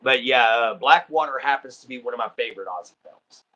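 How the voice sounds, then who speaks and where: A man's voice: medium pitch, a little fast, pushed and bright, highs cut off. A man with a Texan accent from Southeast Texas, hosting a livestream in easygoing, rambling casual chat full of ums and uhs. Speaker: livestream host.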